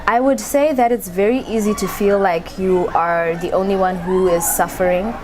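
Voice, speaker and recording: A woman's voice: medium pitch, fairly even intonation, normal speed. A young woman, a university student, giving a casual answer in an interview. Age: young adult. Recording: noticeable background ambient noise.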